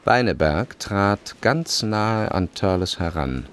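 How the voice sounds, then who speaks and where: A man reading literary narration for a radio play, with deliberate, dramatic phrasing. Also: fairly low pitch, animated, a bit bright, a little hiss or hum.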